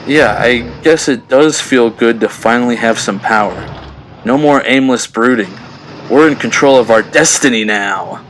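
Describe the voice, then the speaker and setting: A man's voice: medium pitch, normal speed, a bit bright, slight background noise, natural voice, poor audio. A man playing an uneasy, brooding teenage superhero in an audio drama, with a casual, hesitant way of talking.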